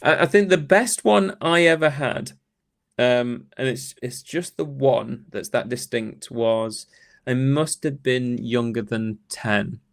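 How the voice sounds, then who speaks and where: A man's voice: medium pitch, slow, gravelly. A man speaking as an academic interview guest, telling anecdotes in a thoughtful, rambling, conversational way.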